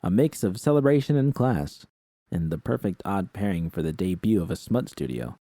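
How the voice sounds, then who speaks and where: A man's voice: low-pitched, lively intonation, moderate pace, dark tone, dry recording, studio quality. A man voicing a streetwise, protective young woman in a story, with blunt, slangy, reassuring talk. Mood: pleased.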